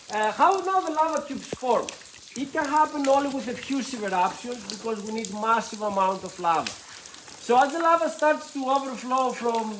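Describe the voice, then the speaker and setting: A woman's voice: mid-range voice, a little hiss or hum, poor audio. A woman from Greece with a Greek accent, speaking English as a second language. She guides a tour group through a lava cave, chatty and playful, joking with the group in loose grammar.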